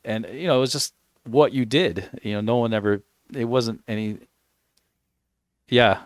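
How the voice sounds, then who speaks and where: A man streams a game from home and talks casually into a desk mic, his first language Italian, though he grew up in Canada. His voice sits at a middle pitch and sounds close and dry, with almost no room around it. He rambles, restarts and corrects himself often. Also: normal speed, no echo. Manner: rambling, self-correcting storyteller. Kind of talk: casual chat while gaming.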